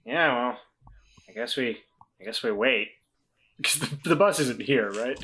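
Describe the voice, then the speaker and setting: Bright, hesitant male player, casual table talk. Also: mid-range voice, lively intonation, measured pace, breathy edge.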